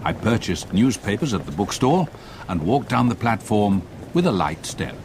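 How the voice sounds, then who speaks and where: Elderly English narrator, radio drama, lowish pitch, lively intonation, literary, reflective, well-spoken. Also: male voice, normal speed, background noise. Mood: reflective, fond. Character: reflective old English gentleman recalling his youth.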